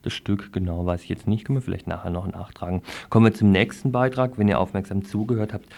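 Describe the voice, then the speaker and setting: Low-voiced man presenting radio news. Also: steady tone, fast, creaky, darkish tone. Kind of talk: radio news magazine presenting, partly informal.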